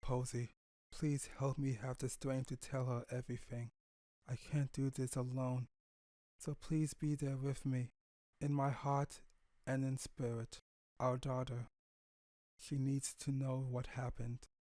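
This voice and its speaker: Earnest pony dad voiced by a man, tender and emotional, mid-range pitch, storybook drama. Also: flat delivery, measured pace, warm tone, no room sound, natural voice. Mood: grieving, pleading. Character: tender grieving pony stallion, a young father.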